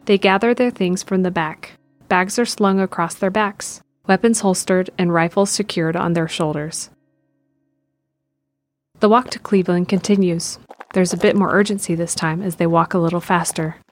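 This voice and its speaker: A woman's voice: on the low side, fairly even intonation, moderate pace, faint music behind. A woman reading scripted present-tense narration for an audio drama.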